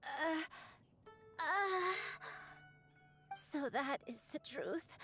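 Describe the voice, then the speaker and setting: Caring young girl, game drama, very high pitch, very slow, hesitant, gentle. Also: expressive, soft background music, heavily acted. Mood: hesitant realization. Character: soft-hearted young granddaughter, anxious and caring. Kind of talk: video game voice acting.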